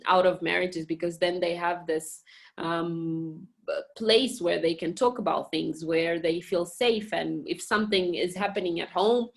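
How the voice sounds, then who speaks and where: Woman from Mozambique, lower register, halting interview answers full of fillers. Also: vocal fry. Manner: hesitant, many fillers and restarts. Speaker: communications director and girls education program manager at a national park.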